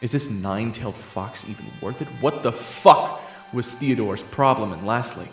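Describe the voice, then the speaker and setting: A man's voice: fairly low pitch, moderate pace. A man narrating an audio roleplay, telling the action to the listener in the second person and swearing now and then. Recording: echoey room, loud music underneath. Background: loud game soundtrack music.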